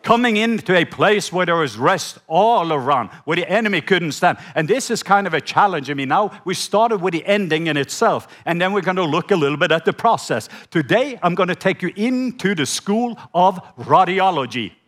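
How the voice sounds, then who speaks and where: A middle-aged man from Norway with a Norwegian accent, preaching a sermon. He slows down to read scripture and has the congregation repeat key words back. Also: sits high, animated, fairly bright tone. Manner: slows down when reading scripture, prompts the audience to repeat words. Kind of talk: sermon with scripture reading and call-and-response.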